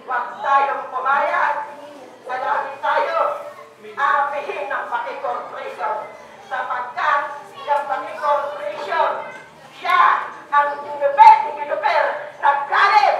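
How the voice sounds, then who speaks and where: Slow, high-voiced Filipino woman at rally. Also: lo-fi sound. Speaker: protest speaker. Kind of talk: rally speech.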